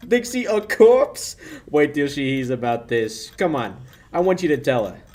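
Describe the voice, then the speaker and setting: A young man acts out a slick, menacing male crook in 1940s radio style. His fairly high voice swings widely as he sneers and wisecracks his way through the lines. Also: sing-song, unhurried, a little mellow. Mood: mocking. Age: young adult. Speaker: high school actor. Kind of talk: 1940s radio play acting.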